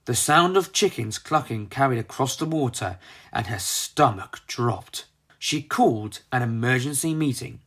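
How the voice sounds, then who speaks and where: Animated children's storybook narrator. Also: mid-range voice, a little slow, breathy edge.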